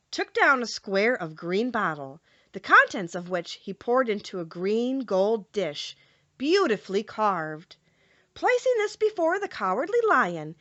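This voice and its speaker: A woman narrating a children's story from a script in an expressive storytelling manner. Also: mid-range voice, no room sound, highs cut off. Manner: expressive, clearly paced storytelling. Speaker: audiobook narrator.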